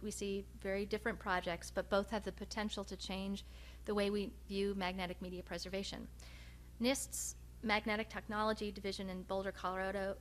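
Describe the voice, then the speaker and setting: A woman researcher giving a research presentation, speaking in a measured, hesitant way with frequent pauses. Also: mid-range voice, a bit bright. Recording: noticeable background hum and noise.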